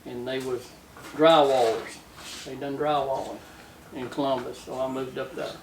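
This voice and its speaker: A man's voice: medium pitch, steady tone, normal speed. An older man with a Southern US accent, reminiscing casually in a plainspoken, folksy way. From Tennessee, United States. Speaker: interview guest, retired-era trucker telling his life story. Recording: roomy, distant mic with faint noise.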